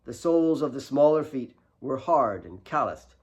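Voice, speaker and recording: Animated man reading fiction. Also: medium pitch, moderate pace, husky. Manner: expressive, storytelling. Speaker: author reading own fiction. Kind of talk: dramatic fiction narration.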